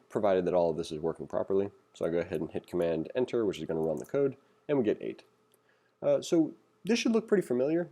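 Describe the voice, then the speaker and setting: A man's voice: low voice, dark tone. A man giving a casual, conversational programming tutorial as instructor, reading along and adding opinionated, self-deprecating asides.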